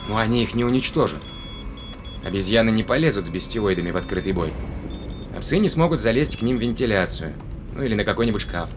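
A man's voice: lowish voice, noisy background, lightly acted. An actor playing a decisive spaceship crewman who reasons aloud and gives orders in an audio drama. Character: decisive male spaceship crewman planning a counterattack.

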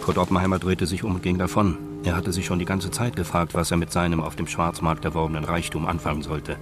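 An actor as the detached narrator of a radio crime drama, telling it in a measured, matter-of-fact way. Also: male voice, deep voice, level tone, natural voice. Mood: detached narration. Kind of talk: scripted crime story narration.

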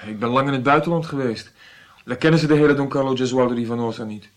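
An actor playing a curious, polite and determined young traveller in a radio drama, speaking earnestly. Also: male voice, medium pitch, quick pace, natural voice. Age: young adult.

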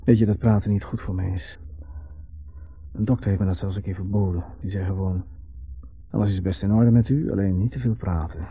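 A man's voice: low voice, steady tone, a little fast, slightly rough. An actor playing a bitter, reclusive man, cold and scornful, in a 1970s radio drama. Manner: terse, scornful, theatrical. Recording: slightly dull old radio recording.

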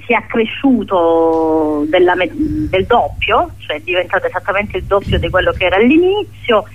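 A woman talking reflectively in an interview, hesitant, often searching for words and repeating herself. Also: mid-range voice. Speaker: playwright being interviewed. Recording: narrow phone-line sound.

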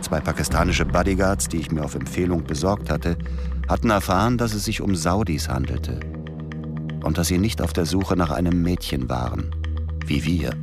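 An actor playing a tough Western operative who narrates his search in a radio play, sober and measured. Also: very deep voice. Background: loud music.

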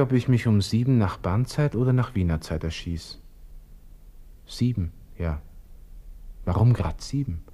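Deep, brooding young Viennese lieutenant. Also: male voice, dark tone. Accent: Austrian, Viennese. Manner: musing to himself, murmured, wry. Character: melancholy, cynical young Austrian army lieutenant facing death. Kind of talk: 1960s radio play interior monologue. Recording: hissy old radio play recording.

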